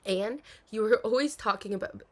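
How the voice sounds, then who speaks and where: A woman's voice: mid-range voice, normal speed, darkish tone, close to an everyday voice. A woman playing a dutiful, anxious young princess in an intimate audio roleplay, speaking formally with hesitations and sighs. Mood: bright, defensive. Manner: formal storybook diction, hesitant, sighing.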